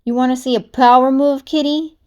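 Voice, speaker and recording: Woman playing a confident, higher-voiced wolf gang leader. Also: steady tone, a little fast, warm tone, clean tone, lo-fi sound, lightly acted. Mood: smug. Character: cool, confident male wolf gang leader from a children's story.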